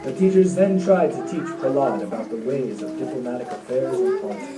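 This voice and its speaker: A woman's voice: rumbling low, fairly quick. A woman narrating a scripted devotional tale as storyteller for a puppet show. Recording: echoey, distant, music underneath. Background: music.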